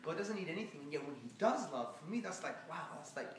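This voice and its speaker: A man answering audience questions after a lecture, animated and joking with the crowd. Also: fairly high pitch, fast talker, heavy reverb, distant mic, slight background noise. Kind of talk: public lecture Q&A.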